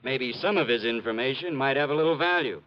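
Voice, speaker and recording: A man's voice: medium pitch, quick pace, close to an everyday voice. A man playing a brash, dismissive American police sergeant in 1950s radio drama style, slangy and impatient. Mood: dismissive, explaining. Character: gruff, dismissive, know-it-all male police sergeant. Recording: dull vintage radio recording.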